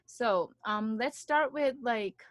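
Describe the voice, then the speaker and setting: A woman's voice: fairly high pitch, slow pace, no room sound, studio quality. A young woman hosting a casual podcast, chatty and giggly, full of "like" and "you know". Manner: giggly, filler-heavy, loose and chatty. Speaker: podcast host. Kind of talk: casual chat. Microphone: video call.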